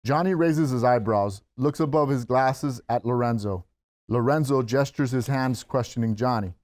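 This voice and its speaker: Male narrator, mid-pitched, reading scene action for a script table read. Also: normal speed, darkish tone. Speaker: stage-direction narrator.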